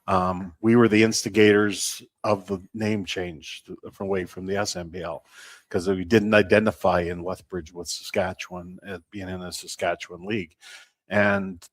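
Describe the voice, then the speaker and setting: Low-pitched man, halting interview talk. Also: little pitch movement, no room sound. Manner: measured, halting, often pausing mid-sentence. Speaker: baseball team representative, interview guest. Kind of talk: unscripted sports interview talk.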